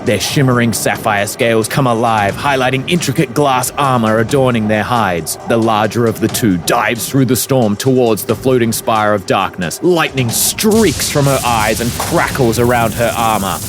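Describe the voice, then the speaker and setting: A man's voice: medium pitch, moderate pace, few pauses. A man delivering dramatic scripted narration for a fantasy audio drama. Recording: prominent music bed behind narration. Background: dramatic music.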